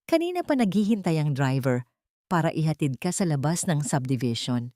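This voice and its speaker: A woman's voice: low voice, very animated, fairly quick, warm tone, no echo, clean studio sound. Woman acting a spiteful, sweetly venomous mistress. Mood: sweetly taunting. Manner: syrupy sweet, sneering, condescending.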